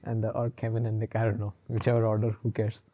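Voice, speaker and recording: A man's voice: fairly low pitch, monotone, quick pace, dark tone. A man co-hosting a casual podcast, rambling along with lots of fillers and laughing often. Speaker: podcast co-host. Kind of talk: casual chat.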